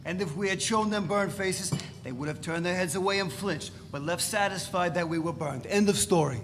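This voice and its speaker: A man's voice: sits high, moderate pace, a bit bright. Man playing a defiant radical in echoey hall. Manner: blunt, emphatic, self-justifying. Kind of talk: dramatic literary reading before listeners. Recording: echoey hall, faint room noise.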